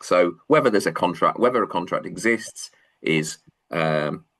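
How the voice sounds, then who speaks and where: A man's voice: low-pitched, lively intonation, normal speed, a bit bright. A man with a Manchester accent giving an online law lecture, hesitant, with many fillers and restarts. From England.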